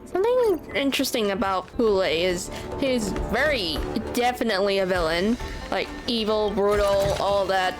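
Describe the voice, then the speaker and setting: A woman's voice: medium pitch, animated, normal speed, rough recording. A woman streaming a game playthrough with casual, laid-back commentary, sometimes reading choices aloud. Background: game music.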